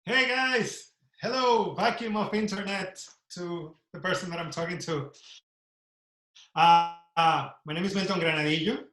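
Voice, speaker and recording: A man's voice: high-pitched, a little slow, a bit bright, reverberant. A man from Venezuela with a Venezuelan Spanish accent and Spanish as his first language, doing a chatty, self-mocking stand-up set. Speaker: stand-up comedian. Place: Miami.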